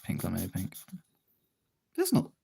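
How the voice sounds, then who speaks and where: A low-pitched livestreamer chats casually while busy drawing, with lots of creak in the voice. The delivery is lively in pitch, rambling and self-deprecating, as if thinking aloud. There is faint noise and some clicking in the recording. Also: normal speed. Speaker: streamer.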